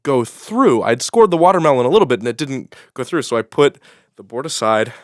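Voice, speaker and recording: A man's voice: medium pitch, animated, fairly quick, no room sound. Guy doing casual podcast banter, jokey storyteller, mid voice, really dry close sound. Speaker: live Foley artist for an audio drama. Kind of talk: casual group interview banter.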